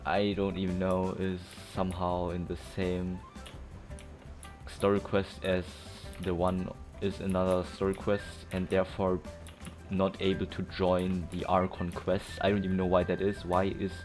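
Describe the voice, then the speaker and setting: A man rambling casually over his gameplay, sighing, forgetful and full of fillers. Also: deep voice. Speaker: gameplay streamer.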